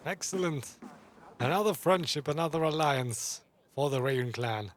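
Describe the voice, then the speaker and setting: A man's voice: on the high side, expressive, normal speed, slight background noise. A man giving casual commentary as a streamer playing through a video game. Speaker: gaming streamer.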